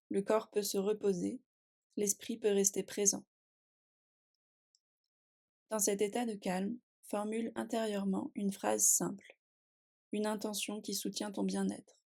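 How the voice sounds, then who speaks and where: A woman guiding a relaxation meditation in a calm, soothing and unhurried manner, speaking in short phrases with long pauses. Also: medium pitch, gravelly. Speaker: yoga nidra meditation guide. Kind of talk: guided relaxation meditation, scripted.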